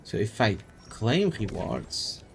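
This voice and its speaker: Slow-paced man with lively, swinging pitch. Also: gravelly. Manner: rambling, thinking aloud, swears casually. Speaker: game streamer. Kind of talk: casual live-stream chat while playing. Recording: game music behind the voice.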